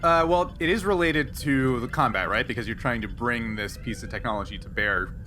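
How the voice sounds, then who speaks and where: A man's voice: mid-range voice, brisk, pushed and bright. A man acting as game master, explaining tabletop game rules in a conversational way. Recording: clear background noise.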